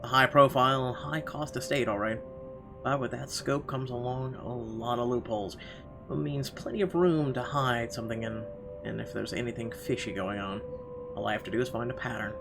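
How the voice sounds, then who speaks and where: A man narrating a visual novel as a let's player, reading aloud a little haltingly with casual asides. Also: medium pitch, fairly even intonation. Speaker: gamer reading the story aloud. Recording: game music clearly behind the voice.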